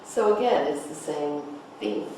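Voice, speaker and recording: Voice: mid-range voice, lively intonation, measured pace. A teacher leading a table read, prompting a group with questions and repeating their answers back. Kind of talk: discussion, questioning and instructing a class. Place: echoey indoor room, recorded from a distance. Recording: echoey room, far mic, clear noise.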